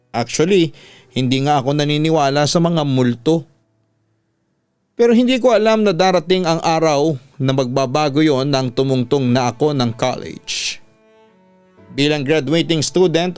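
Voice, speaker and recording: A man's voice: on the high side, clear, resonant tone. A Filipino man, a radio host, narrating a listener's story in a conversational way, mixing in some English. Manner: conversational Tagalog-English code-switching. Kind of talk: scripted first-person story narration, reading a listener's letter. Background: background music.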